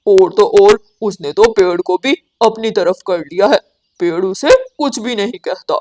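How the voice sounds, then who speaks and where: A woman's voice: mid-range voice, measured pace, a little mellow, pitched below the performer's own voice, close to an everyday voice. A woman voicing a scheming, irritable male crow in a cartoon, blunt and agitated. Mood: outraged.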